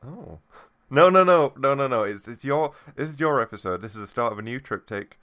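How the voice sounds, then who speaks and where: A man's voice: medium pitch, animated, a little fast, fairly bright tone, highs cut off. A man co-hosting a comedy podcast, rambling in casual banter, laughing often and trailing off into fillers. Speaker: podcast co-host.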